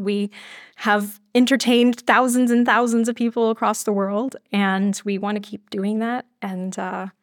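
A woman's voice: mid-range voice, dry recording. Woman chatting casually, dry close sound. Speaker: podcast guest, audio drama creator. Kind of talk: casual interview chat.

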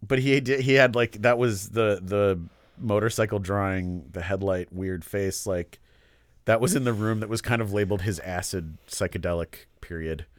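A man's voice: on the low side, normal speed, dry recording, clean studio sound. A man with a stuffed-up, nasal voice co-hosting a podcast in a casual, joking, self-deprecating way. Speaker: podcast co-host discussing art. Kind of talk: casual chat.

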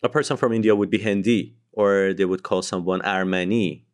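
A man's voice: low voice, steady tone, brisk. A man co-hosting a podcast, explaining things in a casual chat with dry, teasing humour. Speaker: podcast co-host explaining language.

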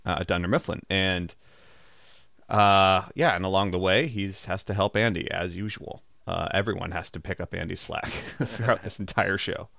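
A man chats as a podcast co-host in a loose, informal style with plenty of "like". His voice is slightly low. The recording is dry and free of room sound. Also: moderate pace, gravelly, pushed and bright, dry recording. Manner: informal, peppered with "like". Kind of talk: casual chat about a TV show.

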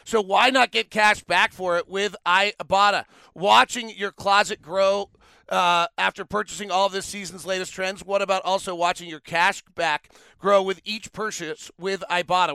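High-pitched gravelly man hosting sports podcast. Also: pushed and bright, no room sound. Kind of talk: casual basketball analysis and conversational ad reads.

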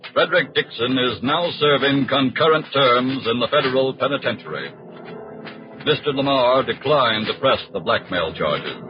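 A man with an American accent giving scripted 1940s radio narration as announcer, formal, solemn and emphatic. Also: medium pitch. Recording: muffled vintage 1940s radio recording. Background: music behind the voice.

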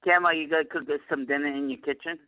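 This is a man with a New York accent calling a sports radio show. He talks in a fairly high voice and teases the hosts. His voice comes through a tinny phone line. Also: fairly even intonation, fast, no room sound. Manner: teasing, joking. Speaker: caller. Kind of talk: call-in chat.

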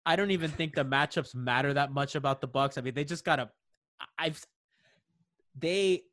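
A man's voice: on the high side, normal speed, a bit bright, no room sound, clean studio sound. Easygoing man in sports podcast chat. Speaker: podcast co-host.

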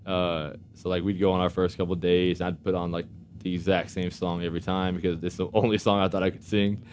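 A man with a deep voice, chatting casually as a football player guest and laughing often. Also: flat delivery, fairly quick, darkish tone, slight background noise. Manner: laid-back, laughs often. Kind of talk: casual chat.

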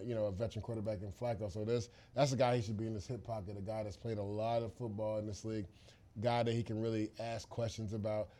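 A man's voice: medium pitch, warm tone. A man co-hosting a football podcast, giving emphatic, opinionated analysis in a casual, conversational way.

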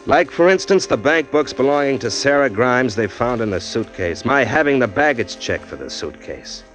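A man's voice: medium pitch, lively intonation, normal speed, fairly bright tone, lightly acted. An actor playing a hard-boiled man narrating his own downfall in a 1940s radio drama. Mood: weary. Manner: hard-boiled, bitter first-person narration. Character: hard-boiled condemned man, weary and bitter. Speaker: narrator and lead, a man arrested for murder. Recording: old radio recording with music.

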